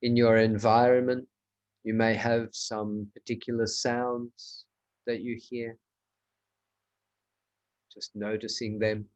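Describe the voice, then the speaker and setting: Man, mid-pitched voice, slow guided meditation, measured, instructional, pauses between short phrases. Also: level tone, darkish tone. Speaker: meditation teacher.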